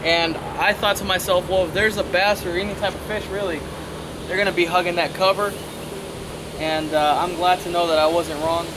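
A man's voice: sits high, steady tone, moderate pace. A young man talking casually to camera while fishing by a river, explaining his baits with plenty of fillers, on a lapel mic. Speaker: fishing vlogger. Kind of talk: casual outdoor fishing vlog. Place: outdoors on a riverbank. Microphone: clip-on lapel mic. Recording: outdoor noise behind.

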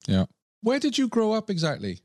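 A man's voice: high-pitched, wildly swooping pitch, brisk, dry recording, clean studio sound. Polite male podcast host asking questions. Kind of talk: podcast interview.

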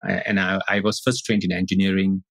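Middle aged guy from singapore, husky voice, dry sound, halting guest on a call. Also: low-pitched, level tone, fairly bright tone, no echo. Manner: thoughtful, halting with many restarts. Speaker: guest, Advaita Vedanta teacher. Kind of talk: casual interview talk. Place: indoor room on video call.

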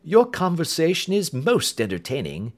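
A man's voice: mid-range voice, sing-song, normal speed, voice pushed up, close to an everyday voice. A man voicing an urbane, imperturbable detective in an audiobook, precise and drily amused. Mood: bland, unruffled. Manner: bland, unruffled, precise. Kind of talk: audiobook character acting.

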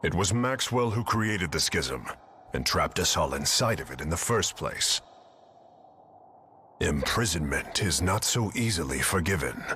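A man's voice: deep voice, animated, measured pace, bright, forceful tone, a little hiss or hum, exaggerated voice. An actor playing a stern, unforgiving male leader in a dramatic video game. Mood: stern, resolute. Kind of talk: English game voice acting.

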